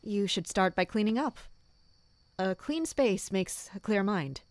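An actress playing a guarded but caring woman in an audio drama, speaking in a calm, measured, gentle way. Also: mid-range voice, slight background noise, close to an everyday voice. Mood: calm, reassuring. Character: guarded, caring woman, composed royal investigator. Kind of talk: audio drama acting with first-person narration.

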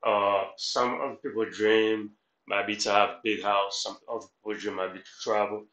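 A man's voice: on the low side, flat delivery, moderate pace, a bit bright. A middle-aged man from Haiti giving motivational commentary as a life coach, instructive and repetitive, often checking in with the listener. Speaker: life coach, reaction host. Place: small room at home, indoors. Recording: roomy echo.

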